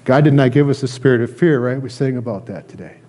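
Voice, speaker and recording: A middle-aged American man giving a sermon as a pastor, speaking earnestly and haltingly, often repeating phrases. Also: mid-range voice, warm tone. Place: church sanctuary, indoors at a lectern.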